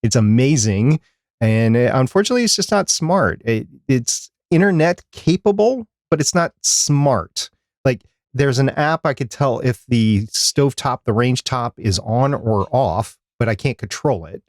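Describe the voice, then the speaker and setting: A man's voice: medium pitch, animated, unhurried, no echo, clean studio sound. A man co-hosting a podcast in casual banter, talking in a chatty, rambling way. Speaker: podcast co-host.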